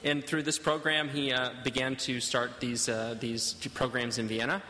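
A man interpreting a sermon live, halting often with fillers as he searches for words. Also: mid-range voice, level tone, pushed and bright, in a large hall, rough recording. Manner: hesitant, halting, many fillers.

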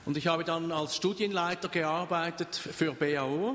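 An older man from Switzerland, preaching in short phrases and pausing for an interpreter. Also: fairly high pitch, steady tone, a bit bright, in a large hall. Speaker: pastor. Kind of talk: sermon with consecutive interpreter.

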